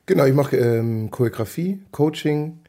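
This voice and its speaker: A man's voice: medium pitch, lively intonation, moderate pace, mellow. A man with a cold, chatting upbeat as a podcast guest about his work as a choreographer. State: has a cold. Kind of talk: casual chat.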